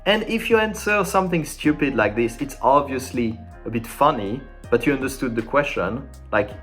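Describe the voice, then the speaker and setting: A man speaking English as a second language, giving a casual, informal French lesson in an animated way. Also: medium pitch, normal speed, faint music behind. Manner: animated and informal, acting out sample dialogues.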